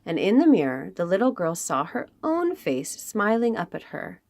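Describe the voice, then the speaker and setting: Woman reading storybooks aloud with very lively intonation. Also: fairly low pitch, gravelly. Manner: expressive, with dramatic emphasis and pauses. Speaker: children's read-aloud host. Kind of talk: expressive storybook read-aloud for young children.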